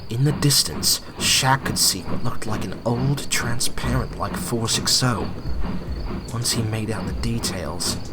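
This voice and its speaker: A man's voice: mid-range voice, a little slow, heavy background noise. A man narrating a scripted, dramatic story for an audio drama.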